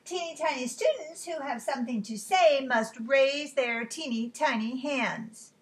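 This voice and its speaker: A woman voicing a tiny, firm schoolteacher in a children's storybook, speaking in a deliberate, emphatic way. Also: high-pitched.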